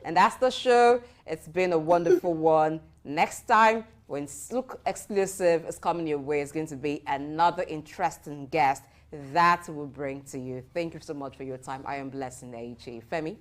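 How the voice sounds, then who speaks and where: A woman hosts a television interview, polite and formal. Her voice sits low for a woman, steady and composed. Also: normal speed, few pauses. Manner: polite, formal sign-offs. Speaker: TV host. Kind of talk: broadcast interview hosting.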